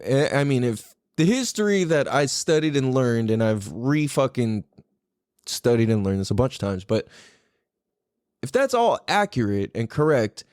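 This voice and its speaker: A man's voice: mid-range voice, darkish tone, no echo, clean studio sound. A man hosting a podcast in a casual, rambling, opinionated way, speaking animatedly and swearing freely. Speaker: podcast host.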